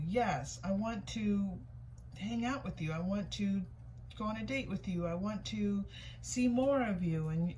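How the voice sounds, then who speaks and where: A woman with a mid-pitched voice hosts a tarot reading in a casual, conversational style. She muses aloud as she goes, circling back over phrases and asking herself questions. Background noise is audible behind her. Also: darkish tone, low-quality recording. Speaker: tarot reader hosting a reading. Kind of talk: casual intuitive card reading, thinking aloud. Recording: clear background noise, room ambience.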